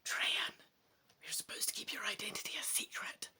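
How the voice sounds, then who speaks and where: A man's voice: a little fast, in a whisper. A man voicing a stern, ancient female elf tutor who admonishes her pupil in a dramatic gamebook reading. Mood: sharp whisper. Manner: sharp, hushed, admonishing. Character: stern two-hundred-year-old female elf tutor and bodyguard.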